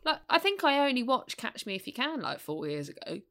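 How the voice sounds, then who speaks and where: A young woman co-hosting a casual podcast, rambling and hesitant, with animated asides. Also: fairly high pitch, a little fast. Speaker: podcast co-host. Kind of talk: casual chat.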